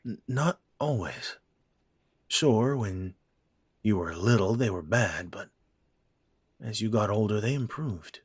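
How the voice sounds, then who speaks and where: A man playing a blunt, weary aging father who sighs and speaks slowly. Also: on the low side, expressive, breathy edge, heavily acted. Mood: fond. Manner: blunt, sighing, slow-spoken.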